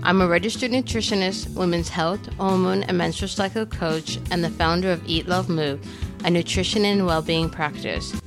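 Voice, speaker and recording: A woman's voice: on the low side, fairly even intonation, normal speed, music in the background. A woman podcast host giving a rehearsed show opening. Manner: smooth, rehearsed.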